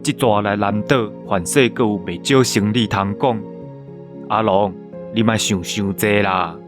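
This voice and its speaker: A man plays a friendly merchant in a radio drama, reassuring in manner. His voice is low, with clear guitar music playing behind it. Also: unhurried, lightly acted. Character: affable male trader, friendly and reassuring.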